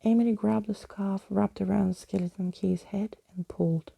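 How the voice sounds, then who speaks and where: A woman narrating a story in ASMR style, soft and breathy, often slipping into a whisper, close to the microphone. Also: medium pitch, steady tone, moderate pace, no echo.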